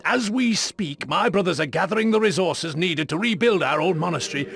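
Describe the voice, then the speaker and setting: An actor voicing a solemn, earnest hooded monk in a video game, speaking in a grave, formal way. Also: male voice, high-pitched, animated, a little fast, gravelly, a bit bright, heavily acted. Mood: solemn, imploring. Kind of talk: video game character acting.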